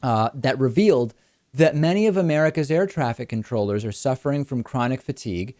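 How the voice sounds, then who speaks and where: A man hosting a political talk show, explaining a news story in a brisk way with rhetorical questions. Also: mid-range voice, expressive, a little mellow, dry recording, clean studio sound. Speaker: host.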